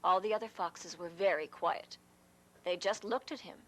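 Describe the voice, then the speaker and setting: A woman's voice: lowish voice, normal speed. A woman telling a fable for children as a storyteller.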